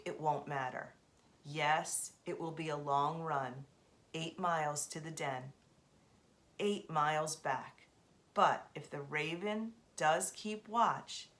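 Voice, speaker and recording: Storybook old wolf, proud and weary, gruff mutter, read by a woman, low voice. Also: a bit bright.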